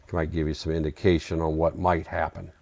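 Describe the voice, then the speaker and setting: A man's voice: very deep voice, flat delivery, brisk, vocal fry, darkish tone, no echo. A man hosting a live trading stream, chatting casually and answering viewer questions while he reads charts, his voice getting worn out. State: losing his voice. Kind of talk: casual live market commentary.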